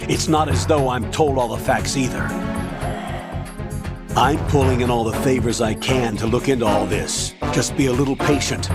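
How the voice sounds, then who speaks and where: An actor playing an older military colonel in a video game, authoritative, measured and guarded. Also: male voice, mid-range voice, close to an everyday voice. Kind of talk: video game cutscene acting.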